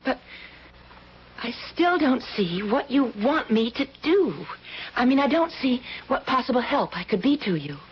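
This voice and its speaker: Earnest, high-voiced woman. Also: big pitch swings, unhurried. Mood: puzzled, tentative. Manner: earnest, tentative, conversational. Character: earnest, kindly working woman, hesitant and eager to please. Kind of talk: old-time radio drama acting. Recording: dull, noisy vintage radio recording.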